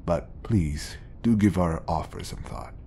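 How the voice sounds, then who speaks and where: A man playing a smooth, scheming, obsessive bodyguard who feigns polite professionalism in an audio roleplay. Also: low-pitched, big pitch swings, a little slow, dark tone, a little hiss or hum, exaggerated voice. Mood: coaxing.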